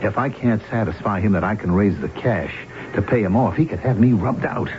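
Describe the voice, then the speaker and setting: An actor playing a wheedling American husband, a gambler in debt, pleading like a hustler in a 1970s radio drama. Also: male voice, lowish voice, close to an everyday voice. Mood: desperate, pleading. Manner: pleading, fast-talking hustler. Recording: noisy, dull vintage radio broadcast.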